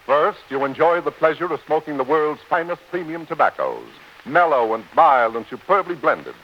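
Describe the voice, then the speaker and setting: A man's voice: fairly high pitch, big pitch swings, normal speed, a little hiss or hum. A man announcing in polished 1940s radio commercial style, on a dull old recording with music behind him.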